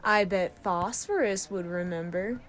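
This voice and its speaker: A woman's voice: mid-range voice, measured pace, a bit bright, natural voice. A woman playing a sardonic, crude, teasing rogue of a space crew in an audio drama, with a sarcastic, needling delivery.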